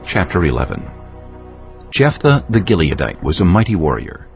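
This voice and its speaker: A man with a gravelly voice giving a dramatic scripted narration of scripture. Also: sits low, sing-song, moderate pace. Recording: dull band-limited audio, faint music underneath. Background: faint music.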